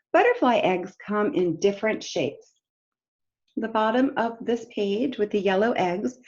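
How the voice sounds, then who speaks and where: Slow-paced woman reading to children. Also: mid-range voice, darkish tone, highs cut off. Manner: simple, instructive, addresses children directly with questions. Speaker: teacher reading a picture book to children. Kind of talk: read-aloud story time lesson for young children, with explanatory asides.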